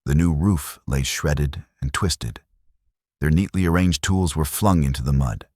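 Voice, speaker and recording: A man narrating a western romance audiobook in a measured, dramatic way. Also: very deep voice, a little mellow, no room sound, clean studio sound. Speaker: storyteller.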